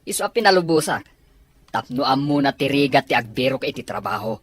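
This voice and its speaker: A man with an Ilocano accent playing an irritable, jobless father who is quick to blame, in an audio drama. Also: medium pitch, lively intonation, slightly rough, no echo. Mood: defensive. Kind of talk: Ilocano radio-style drama acting.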